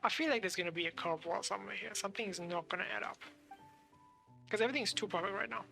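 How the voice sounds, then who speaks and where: Creaky-voiced woman streaming a game. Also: medium pitch, fast talker, sharp tone, faint music behind. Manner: off-the-cuff, muttering, thinking aloud. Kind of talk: casual live gameplay commentary.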